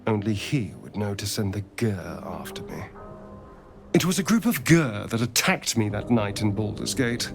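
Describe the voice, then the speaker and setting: An actor playing a haunted, sardonic centuries-old vampire spawn in a fantasy game, speaking with bitter wit and dramatic pauses. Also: male voice, fairly low pitch, very animated, creaky, soft background music. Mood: brooding, resentful. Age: centuries-old undead. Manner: theatrical, wry, bitter, deliberate with dramatic pauses.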